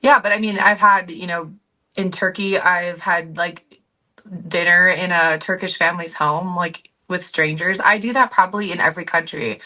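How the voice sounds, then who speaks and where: A woman's voice: on the low side, fairly even intonation, normal speed, pushed and bright, low-quality recording. An American woman telling personal stories in a casual, conversational way, acting out bits of dialogue as she goes. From United States. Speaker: guest, group travel company founder.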